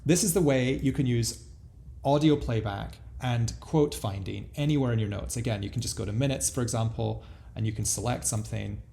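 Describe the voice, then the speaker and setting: A man giving a casual, explanatory product walkthrough as a software demo presenter. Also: medium pitch, fairly quick, creaky.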